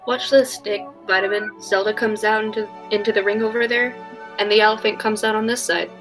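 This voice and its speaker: A woman playing an eager, excitable young woman in a 1940s-style radio drama. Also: mid-range voice, brisk, faint music behind, lightly acted, low-quality recording. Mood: excited.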